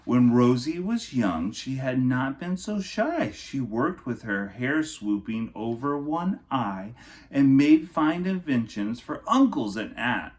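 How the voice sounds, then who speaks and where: A man reading a children's storybook aloud in an expressive, theatrical way, with playful, casual asides. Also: medium pitch.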